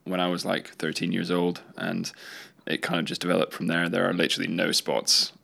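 Deep, gravelly young man. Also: little pitch movement, a little fast, bright, forceful tone. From northern Scotland. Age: young adult. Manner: hesitant, with many fillers. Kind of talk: casual interview chat.

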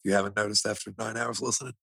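Cocky, husky-voiced young guy teasing. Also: fairly low pitch, steady tone, very fast, pushed and bright. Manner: cocky, teasing, swears freely. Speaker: podcast co-host. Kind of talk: casual joking banter.

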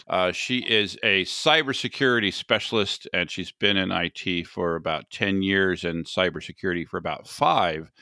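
A man's voice: deep voice, bright, forceful tone, no room sound, studio quality. An American man hosting a podcast in a casual, chatty way, joking at his own expense. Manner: chatty, self-deprecating, quick to laugh. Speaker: podcast host. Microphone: sensitive podcast mic.

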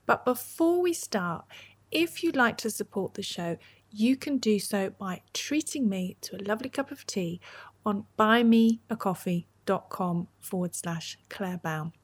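A woman podcast host presenting introductions and interviewing in a clear, measured way. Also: a little high.

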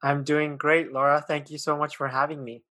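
Podcast guest, man, late 20s, grew up in Pakistan; friendly, halting, dry sound. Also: on the high side, little pitch movement, bright, forceful tone, dry recording. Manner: friendly, hesitant, many fillers and restarts. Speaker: guest, author and podcast host. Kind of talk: casual interview chat.

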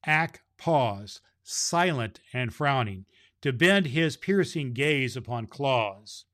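A man's voice: mid-range voice, lively intonation, slow, a bit bright. A man hosting a children's podcast in the role of Santa Claus, reading a storybook chapter aloud with grandfatherly chuckles.

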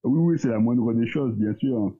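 A man, talking as a guest in a casual discussion, thoughtful and hesitant, pausing often. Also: mid-range voice. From Guadeloupe. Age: 30s. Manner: thoughtful, hesitant, with many pauses and fillers. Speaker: guest, entrepreneur. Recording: tinny phone-like line.

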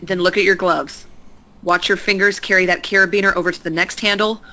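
An actress playing a reserved woman scientist who gives calm, terse instructions over a radio link. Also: fairly low pitch, fairly quick, muffled recording. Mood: guarded. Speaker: scientist guiding a spacewalk. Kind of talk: audio drama acting.

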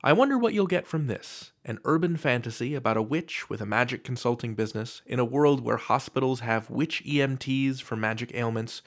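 A man hosting a podcast, reading a scripted introduction in an earnest way with theatrical emphasis. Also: mid-range voice. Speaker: podcast host.

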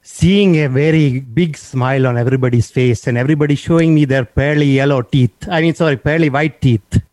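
Give me a man putting on a joking character with a thick Indian accent, mid-pitched and dry. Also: fairly quick, no echo, lightly acted. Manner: playful, deadpan jokes. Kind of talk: comic interview.

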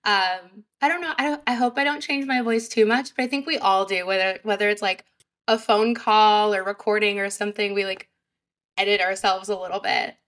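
A woman guest on a podcast, high energy and chatty, with a fairly high voice and lots of fillers. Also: steady tone, a little fast, fairly bright tone. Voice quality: higher pitched voice. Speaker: sales representative guest. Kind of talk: casual podcast interview.

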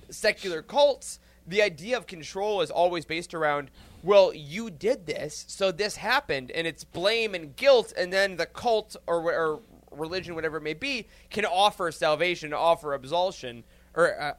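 Bright, high-voiced man talking emphatically. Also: lively intonation, moderate pace, dry recording. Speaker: podcast panelist. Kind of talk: casual roundtable discussion.

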